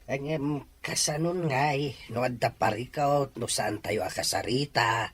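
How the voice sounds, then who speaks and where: A man's voice: medium pitch, unhurried, a bit bright, husky. A man with a Filipino accent acting a caring middle-aged father who gives counsel, in an amateur audio drama. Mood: thoughtful.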